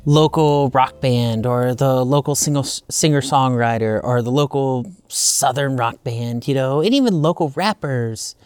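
A woman's voice: rumbling low, a little slow, hardly any pauses, darkish tone, clear, resonant tone, no room sound, soft background music. A woman interviewer chatting casually, in an effusive, conversational way.

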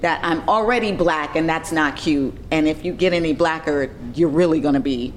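A woman's voice: low voice, moderate pace, slight background noise. A woman in her fifties talking candidly and casually, reflective yet emphatic, often repeating her words of agreement. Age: 50s.

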